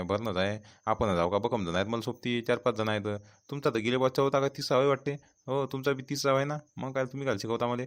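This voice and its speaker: A man's voice: mid-range voice, close to an everyday voice. A man playing a carefree, defiant village borrower in a comic cartoon, arguing and teasing in a Vidarbha Marathi accent. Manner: argumentative, teasing.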